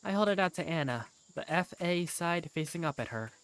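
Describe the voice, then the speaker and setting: A woman's voice: fairly low pitch, animated, a little fast, clear, resonant tone, no echo. A woman reading scripted first-person story narration for a fan-dubbed game.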